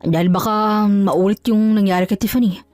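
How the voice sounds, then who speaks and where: An earnest, concerned husband in an audio drama, as a female narrator plays him. The voice sits lowered and measured. The recording is dry, with music behind it. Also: fairly even intonation, darkish tone, dry recording, lightly acted. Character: calm husband, earnest and concerned adult man.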